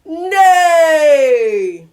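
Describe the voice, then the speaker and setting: A woman's voice: high voice, big pitch swings, very slow, darkish tone, shouting, voice pushed up, exaggerated voice. A woman voicing a gleeful, cheeky young male duck in a storybook, teasing and exuberant. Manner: bellowing animal noises, giggly, teasing.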